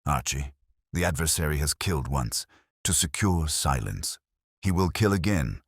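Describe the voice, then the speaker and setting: Man voicing a stern, very deep-voiced master detective. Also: expressive, slightly rough, dry recording, studio quality, full character voice. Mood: grave, urgent. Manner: measured, formal, pronouncing. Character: stern, commanding, brilliant male detective, weary and disdainful. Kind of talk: detective audiobook dialogue.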